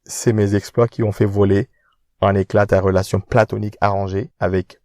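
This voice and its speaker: A man's voice: fairly low pitch, level tone, moderate pace, darkish tone, no echo, clean studio sound, lightly acted. An actor playing a proud, witty young man who answers back with mocking self-assurance in an audio drama. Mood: defiant. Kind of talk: independent audio drama acting.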